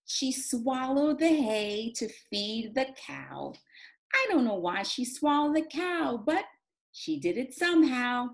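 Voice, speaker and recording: A woman in her forties teaching young children in an expressive, encouraging, sing-song way, as in a read-aloud and craft lesson. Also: fairly high pitch, takes it slowly, creaky. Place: small indoor home classroom. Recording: roomy, echoey home room sound.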